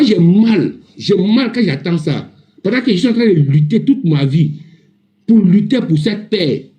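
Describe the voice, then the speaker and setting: Ivorian male, heated panel debater, somewhat high-pitched yet very mellow-toned, insistent, repetitive, informal delivery. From Ivory Coast. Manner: indignant, emphatic, repetitive. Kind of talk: heated informal political rant on a live audio panel.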